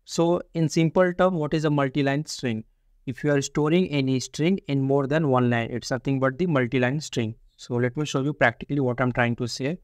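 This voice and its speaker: A man's voice: medium pitch, brisk, darkish tone, no echo. Male coding tutor, step-by-step walkthrough, dead dry close-mic sound. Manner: repetitive, explains step by step with frequent "see" and "only". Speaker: programming tutor. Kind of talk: screen-recorded coding lesson.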